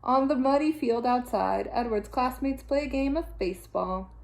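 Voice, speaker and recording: Female storybook reader, teacher type: higher-pitched, expressive, deliberate phrasing, echoey room. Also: vocal fry. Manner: animated, deliberate phrasing with dramatic sound-effect words. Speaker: teacher reading to children. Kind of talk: expressive children's storybook read-aloud.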